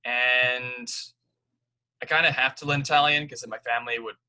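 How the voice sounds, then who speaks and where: A young man from Canada with a very bright, sharp-edged voice talks casually among friends. He explains things at length, with small restarts. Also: medium pitch, steady tone, moderate pace, rough recording. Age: young adult. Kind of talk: casual group chat.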